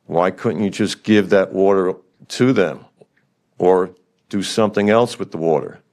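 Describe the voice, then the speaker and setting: An older man giving public comment at a county meeting, blunt and frustrated, firing rhetorical questions. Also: lowish voice.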